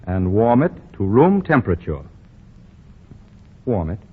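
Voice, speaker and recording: Casting: pompous young boss, husky voice, lively intonation, muffled old radio sound. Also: male voice, lowish voice, unhurried, heavily acted. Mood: fussy. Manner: pompous, stammering, chuckling. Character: fussy self-assured young male store boss. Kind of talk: 1940s radio acting. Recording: dull 1940 radio broadcast.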